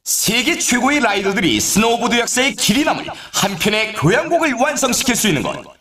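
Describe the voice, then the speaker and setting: Hyped up snowboard game announcer, high excitable male voice, super showy, echoey. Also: fairly quick, full character voice. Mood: hyped, grand. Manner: theatrical, showy, exclaiming.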